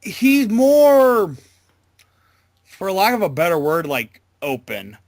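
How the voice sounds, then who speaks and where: A man giving a casual interview as a voice actor, talking in a halting, loosely worded way. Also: sits high, big pitch swings, dry recording, studio quality.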